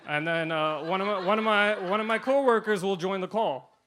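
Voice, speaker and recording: Guy doing standup, kinda high voice, deadpan jokes, faint noise in the back. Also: a little fast. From India. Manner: deadpan punchlines, shifting loud and soft. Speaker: stand-up comedian. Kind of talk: stand-up set.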